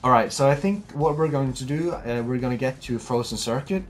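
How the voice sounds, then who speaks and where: A man's voice: medium pitch, lively intonation, fairly quick, faint music behind. A young man from Norway with a Norwegian accent, giving chatty, enthusiastic gameplay commentary and often repeating himself. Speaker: let's play host.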